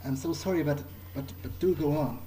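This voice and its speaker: A man voicing an uneasy, apologetic vampire in improvised video game roleplay, halting and stammering as he pleads. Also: on the high side, mellow, slight background noise, natural voice, low-quality recording. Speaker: player acting in character.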